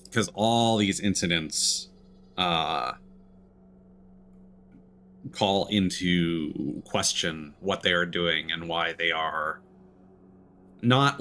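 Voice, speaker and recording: A man giving casual, thoughtful let's play commentary, often halting mid-sentence as he works through an idea. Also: deep voice, bright, forceful tone, a little hiss or hum. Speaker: game streamer. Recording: game music behind the voice. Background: video game music.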